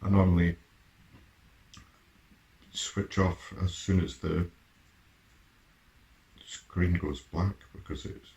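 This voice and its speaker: A man with a gravelly voice giving a casual, halting, musing reaction and review as host. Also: low-pitched, steady tone, a little mellow.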